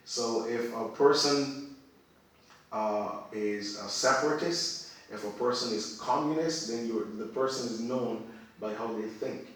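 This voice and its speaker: A man's voice: mid-range voice, a little slow. A man who is a religious teacher, speaking as a guest in a conversation in an emphatic way, repeating phrases for effect. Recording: very echoey room, far from mic.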